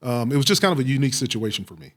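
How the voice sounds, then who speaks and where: A middle-aged American man from Texas answering interview questions with personal stories, speaking reflectively with frequent pauses and repeated phrases. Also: medium pitch, sing-song, fairly bright tone. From Waco, Texas, United States. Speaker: police chief, interview guest.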